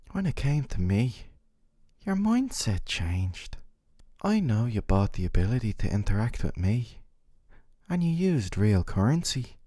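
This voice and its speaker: Guy playing a smug clingy yandere boyfriend, gentle and coaxing, mellow voice, super close dry mic. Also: lowish voice, no room sound. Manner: soft, coaxing, articulate, teasing tag questions. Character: possessive, gentle yandere dating-sim boyfriend, doting and smug. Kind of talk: scripted ASMR roleplay monologue to the listener.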